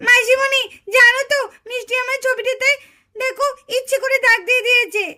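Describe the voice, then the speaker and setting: A woman's voice: extremely high pitch, little pitch movement, fairly quick, sharp tone, exaggerated voice. A woman voicing a wronged, plaintive, accusing little girl in a puppet cartoon. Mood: upset, accusing. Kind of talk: Bengali puppet-show cartoon acting.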